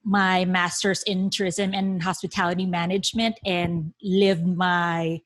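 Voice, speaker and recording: A woman's voice: lowish voice, level tone, a little slow. A woman in her thirties from the Philippines giving a casual self-introduction on a podcast, in an easygoing way. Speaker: podcast co-host.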